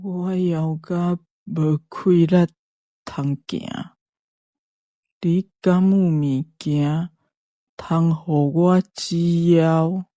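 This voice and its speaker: Woman playing a very slow, plaintive Taiwanese mountain fellow. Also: on the low side, steady tone, dark tone, no room sound. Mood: faint, hungry. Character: starving, grateful mountain fellow stranded by the road. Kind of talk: radio drama acting.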